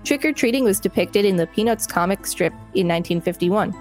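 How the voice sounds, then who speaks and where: A woman's voice: mid-range voice, lively intonation, brisk, a little mellow, soft background music. A woman co-hosting a podcast, reading an encyclopedia article aloud and adding casual commentary. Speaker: podcast co-host.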